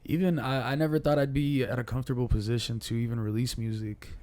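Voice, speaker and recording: A man's voice: mid-range voice, steady tone, quick pace, a little mellow. A man of twenty-four chatting casually as a podcast guest, with slangy, profane language. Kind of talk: casual chat.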